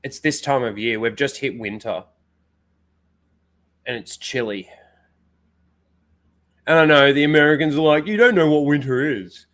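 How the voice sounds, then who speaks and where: A man's voice: mid-range voice, measured pace, long pauses, fairly bright tone. A young man with a blocked nose, hosting a casual livestream, rambling with lots of fillers and greeting people in the chat. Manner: rambling, filler-heavy, reads out chat names. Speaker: live stream host.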